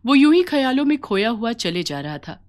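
A woman's voice: fairly high pitch, sing-song, a little fast, darkish tone. A woman reading scripted story narration as the narrator of a tale.

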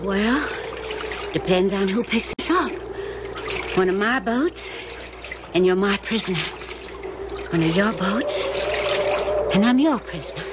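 Actress as a slow-spoken, tender young woman. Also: mid-range voice, expressive, highs cut off, close to an everyday voice. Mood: tender, frank. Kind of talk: 1970s radio drama acting. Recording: water noise, 1970s radio drama.

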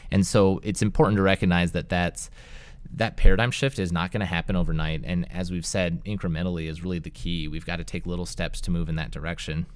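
A man with a very low, deep voice talks through city planning issues at a steady, considered pace. He co-hosts a local government discussion show, explaining things thoughtfully and pausing on fillers as he goes. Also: clean tone. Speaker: city planning director, co-host. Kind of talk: casual policy discussion.

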